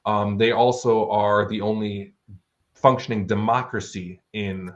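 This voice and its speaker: A man's voice: on the low side, steady tone, a little slow, highs cut off. A man speaking as an author being interviewed, explaining his fantasy worldbuilding in an articulate, bookish, conversational way.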